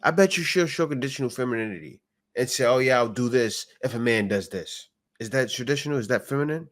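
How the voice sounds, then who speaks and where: A man hosts a reaction video in a blunt, argumentative voice. His pitch sits in an ordinary middle range. He keeps making his point through rhetorical questions. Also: lively intonation, fast. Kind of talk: opinionated reaction commentary.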